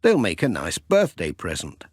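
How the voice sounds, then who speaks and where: A man's voice: deep voice, wildly swooping pitch, moderate pace, husky, no echo, studio quality, voice pushed down, exaggerated voice. A man voicing a kindly, cheerful village postman with a friendly, earnest way of talking in a children's storybook. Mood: pleased. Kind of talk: children's storybook character dialogue.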